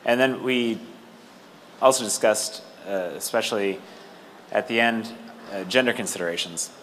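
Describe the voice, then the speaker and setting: A man giving a measured readout at a meeting over a microphone, pausing between short phrases. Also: fairly low pitch, fairly bright tone, rough recording. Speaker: roundtable participant reporting back. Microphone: amplified meeting microphone. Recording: faint background room noise.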